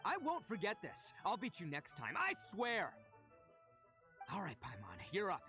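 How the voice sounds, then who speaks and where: Cocky young warrior, video game character, exuberant, boastful, good-hearted, very animated, music behind. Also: male voice, sits high, a little slow, full character voice. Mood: frustrated.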